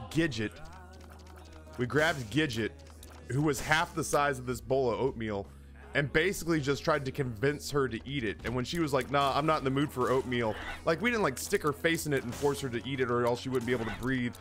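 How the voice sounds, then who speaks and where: A man streaming games who tells comic stories casually, in an animated, exaggerating and profane way. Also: medium pitch, fairly quick. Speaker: game streamer. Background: music in the background.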